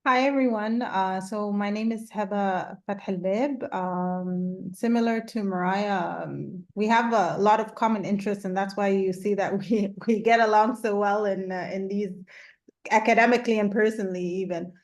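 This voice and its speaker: A woman from Canada introducing herself on a video-call workshop in a relaxed, chatty way with many fillers. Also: medium pitch, moderate pace. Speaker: workshop co-facilitator. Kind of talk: self-introduction in online workshop.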